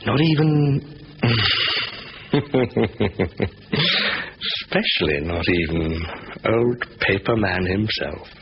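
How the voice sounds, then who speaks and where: An actor playing a cool, sly, confident bank thief with playful patter in a 1970s radio drama. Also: male voice, on the low side, lively intonation, extremely slow, pauses often, full character voice. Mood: gloating. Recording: muffled, hissy old radio broadcast recording.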